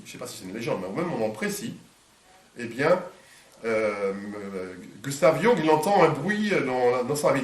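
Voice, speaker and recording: A man interviewing, halting with many fillers and restarts, a little stuffed up from a cold. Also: mid-range voice. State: congested from a cold. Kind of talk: hesitant conversational interview. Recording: echoey room, distant mic.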